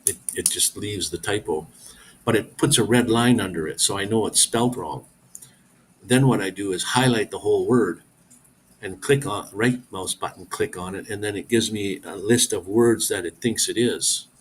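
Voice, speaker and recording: A man's voice: lowish voice, moderate pace, slight background noise, low-quality recording. A middle-aged man hosting a casual live stream, rambling as he reads viewer comments aloud and answers them.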